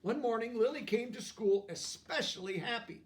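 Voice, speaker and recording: A man's voice: very high-pitched, lively intonation, normal speed, far from the mic. A man reading a picture book aloud expressively, with explanatory asides for young listeners.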